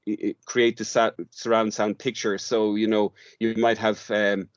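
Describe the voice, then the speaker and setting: An Irish man as a podcast guest, with a fairly low voice and a close, dry sound. He talks informally and haltingly, often repeating words and pausing to find the next one. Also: level tone, dry recording. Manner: hesitant, halting delivery with repeated words and long searching pauses. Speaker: interview guest, radio drama workshop director.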